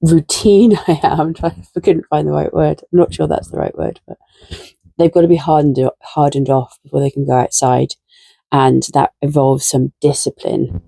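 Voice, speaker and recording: A woman with a croaky, tired voice chatting casually and a little self-deprecatingly while potting up seedlings in her garden shed. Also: sits low, fairly even intonation, moderate pace, dark tone, no room sound. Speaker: gardening vlogger.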